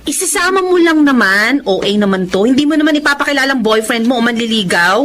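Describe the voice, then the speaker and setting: A woman's voice: on the high side, lively intonation, a little fast, lightly acted. A woman acting a coy, hopeful young woman in love in a Filipino radio drama, with a bubbly manner. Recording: crowd chatter behind the voice.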